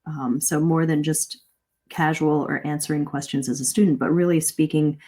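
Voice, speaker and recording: A woman's voice: low-pitched, steady tone, normal speed, mellow. A middle-aged woman coaching English pronunciation in a live webinar, talking earnestly and conversationally, often correcting herself mid-sentence. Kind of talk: live-streamed webinar teaching.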